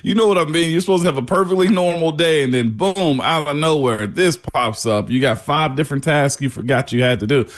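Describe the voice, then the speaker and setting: A man's voice: a little high, brisk, few pauses. Laid-back man in his forties. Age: 40s. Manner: laid-back, laughs often, says "man" a lot. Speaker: co-host. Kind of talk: casual sports radio chat. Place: at home, remote.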